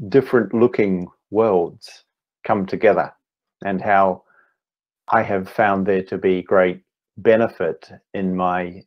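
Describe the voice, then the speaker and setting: A man in his fifties with a New Zealand accent, giving an online talk as a meditation teacher, speaking slowly and deliberately with gentle pauses. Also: deep voice, dull audio. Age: 50s. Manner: slow, deliberate, gently pausing. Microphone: video call.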